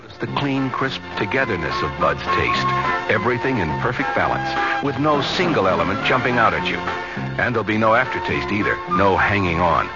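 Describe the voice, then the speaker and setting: Casting: male commercial announcer, deep and animated, talking straight to the listener, dull vintage radio sound. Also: brisk. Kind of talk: 1970s radio ad read, conversational pitch. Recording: dull 1970s radio recording, loud music. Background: music.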